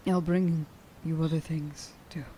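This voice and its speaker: A woman playing a tender, emotional young huntress in an ASMR roleplay, speaking softly and breathily and often dropping toward a whisper. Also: low voice, flat delivery, a little slow, slight background noise. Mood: earnest, reassuring. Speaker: voice actor.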